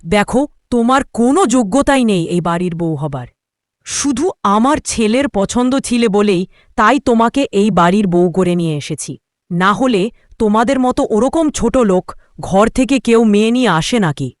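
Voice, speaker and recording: A woman's voice: mid-range voice, expressive, normal speed, no room sound, clean studio sound, lightly acted. Woman acting a domineering, scornful older mother-in-law. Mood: scornful, scolding. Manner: bossy, cutting, condescending. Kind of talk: dramatic Bengali cartoon voice acting.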